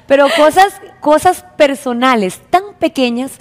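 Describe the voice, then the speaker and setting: A Costa Rican woman in her thirties chats as a TV presenter. Her voice is on the high side, and the studio adds a long reverberant tail. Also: unhurried, faint music behind. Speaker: television presenter. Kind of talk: unscripted studio chat. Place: indoor television studio.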